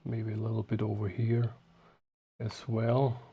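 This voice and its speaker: A man giving an unscripted hands-on demonstration as a hobbyist, talking in short, halting phrases as he works, partly muttering to himself. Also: on the low side, completely flat delivery, vocal fry, narrow, tinny audio, slight background noise. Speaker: hobbyist host of radio repair videos.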